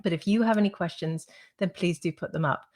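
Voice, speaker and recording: Woman, 30s, slightly hoarse, upbeat and chatty host on a webcam call. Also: on the low side, a little fast, a little mellow. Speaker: host and school founder. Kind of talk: live-stream interview. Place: home room with shelves. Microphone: webcam on video call.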